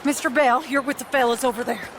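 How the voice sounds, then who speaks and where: An actress playing a bossy, brisk older woman running an outlaw camp in a western game. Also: high voice, fairly even intonation, noisy background. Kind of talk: cinematic western game acting.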